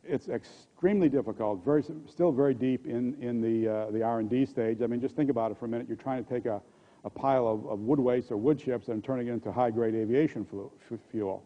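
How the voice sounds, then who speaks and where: An older man with an American accent gives a conference keynote at a podium microphone, speaking haltingly and often repeating words and restarting. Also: mid-range voice. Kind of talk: conference presentation. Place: conference hall stage at a podium. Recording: dull, muffled lo-fi audio.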